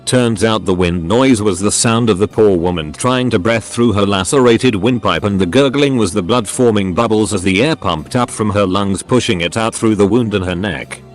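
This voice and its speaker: A man's voice: fairly low pitch, normal speed, few pauses, faint music behind. A man reading forum stories aloud as a scripted online narration.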